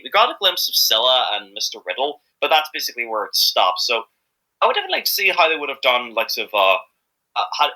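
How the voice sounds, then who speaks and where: A man's voice: medium pitch, sharp tone, rough recording. A man chatting casually as an interviewed amateur voice actor, rambling and often repeating himself hesitantly.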